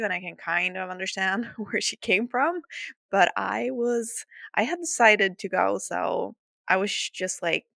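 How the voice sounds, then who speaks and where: A woman's voice: fairly low pitch, creaky, bright, forceful tone, dry recording, clean studio sound. A woman in her thirties from Sweden with a Swedish accent, hosting her podcast in a chatty, upbeat way with frequent laughs and restarts. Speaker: podcast host. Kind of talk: casual solo podcast storytelling.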